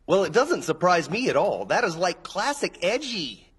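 High-pitched, goofy young guy. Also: very animated, moderate pace, fairly bright tone, breathy edge, full character voice. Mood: teasing, casual. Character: goofy, casual, slangy young man. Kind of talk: anime dub acting.

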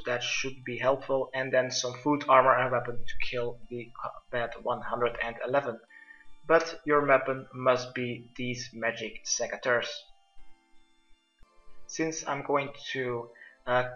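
A man's voice: mid-range voice, pushed and bright. A man giving step-by-step instructional commentary for a video game walkthrough. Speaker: gamer presenting a quest guide. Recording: muffled lo-fi roomy mic, music behind.

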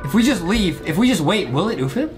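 A man in his twenties with a high, very animated voice commentates gameplay. He speaks excitably to viewers and often repeats his phrases. Music plays clearly behind him. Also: fast. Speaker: gaming video host.

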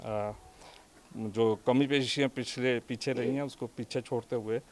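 Measured middle-aged man giving a press statement. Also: medium pitch, steady tone. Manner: measured, halting with fillers. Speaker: education official. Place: outdoors in front of a school building. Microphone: bunched press microphones held up to him.

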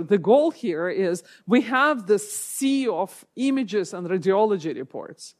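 Woman lecturing on a conference stage. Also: medium pitch. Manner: thoughtful, explanatory, frequent self-corrections. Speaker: keynote speaker, professor. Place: large lecture hall, speaking from a stage.

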